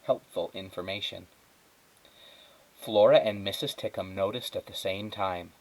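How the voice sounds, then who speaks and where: A man reading a children's story aloud as a teacher, in expressive narration. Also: low-pitched, unhurried, rough recording.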